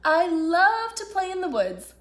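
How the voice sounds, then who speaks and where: A woman reading a children's storybook as a small, timid, playful young male fox, excitable and earnest. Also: very high-pitched, a little slow, voice pushed up, exaggerated voice.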